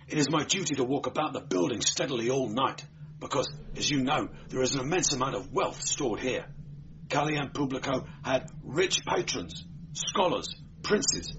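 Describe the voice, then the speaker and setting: A man's voice: mid-range voice, expressive, moderate pace, pitched above the performer's own voice, close to an everyday voice. A man voicing an anxious, dutiful night watchman who explains earnestly in an audiobook story. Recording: dull, noisy amateur recording.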